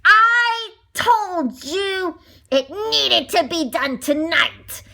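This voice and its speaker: A woman voicing a greedy, villainous fur-coat-mad woman who barks slow, shouted orders. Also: on the high side, animated, creaky, heavily acted. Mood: furious.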